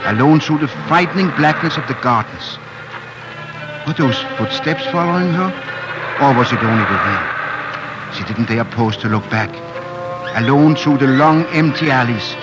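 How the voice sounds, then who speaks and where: A man's voice: mid-range voice, expressive, moderate pace, pauses often, muffled recording. A man narrating a story theatrically and suspensefully in 1940s radio drama style. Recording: old 1940s radio recording with music.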